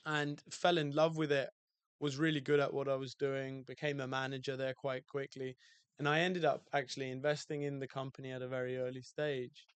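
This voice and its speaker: A man with a British accent talks through his story in a relaxed, reflective way, often pausing on fillers and restarting. His pitch stays flat and even, and his voice is smooth and clear in a dry room. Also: no room sound. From UK, outside London. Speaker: guest, hotel owner. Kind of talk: casual podcast interview.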